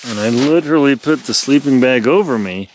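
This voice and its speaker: A middle-aged man, an explorer and adventurer, giving a lively, playful how-to talk from inside a small tent, filmed on a phone at arm's length. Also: mid-range voice, brisk, far from the mic, a little hiss or hum, poor audio. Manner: lively, playful, mock-dramatic asides. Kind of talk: casual how-to vlog. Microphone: phone camera held at arm's length.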